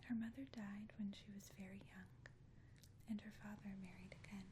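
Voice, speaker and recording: A woman whispering softly and breathily in a gentle, soothing ASMR style, as a caring friend reading a bedtime story. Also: mid-range voice, steady tone, normal speed, noisy background.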